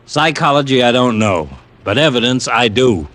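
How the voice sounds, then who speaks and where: A man's voice: medium pitch, expressive, moderate pace, fairly bright tone, natural voice. A man playing a blunt, skeptical American police lieutenant in an old radio drama, grumbling and sarcastic. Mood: exasperated, sarcastic. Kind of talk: 1940s radio drama acting. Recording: vintage radio drama recording.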